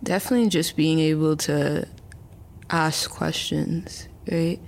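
A woman's voice: low-pitched, fairly even intonation, takes it slowly, gravelly, a little mellow. A woman in her early twenties, a chatty interview guest who talks casually with many fillers and restarts. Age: early 20s. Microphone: remote call.

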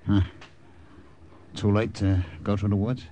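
An actor playing a slangy, wisecracking young American soldier on a sabotage mission, in old radio drama style. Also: male voice, fairly low pitch, level tone, unhurried, dark tone, close to an everyday voice. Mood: resigned. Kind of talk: 1950s radio drama acting. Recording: noisy vintage radio drama recording.

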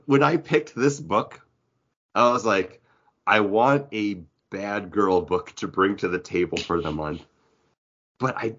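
A man's voice: on the low side, fairly bright tone, slightly rough. A man co-hosting a podcast, chatting casually in a rambling, jokey way. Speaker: podcast co-host. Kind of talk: casual comic book podcast chat. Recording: dull, lo-fi audio.

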